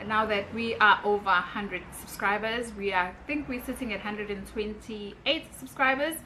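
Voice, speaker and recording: A woman's voice: mid-range voice, normal speed, sharp tone, a little hiss or hum, poor audio. A South African woman in her thirties, chatting casually as she reads out questions and answers them in a vlog. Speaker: YouTuber. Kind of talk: casual get-to-know-me vlog.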